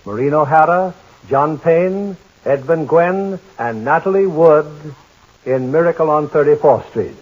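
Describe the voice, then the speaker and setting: A middle-aged man hosting a 1940s radio drama broadcast, courteous and measured in a formal manner. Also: on the high side, expressive, phone-quality sound. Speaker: radio drama host and producer.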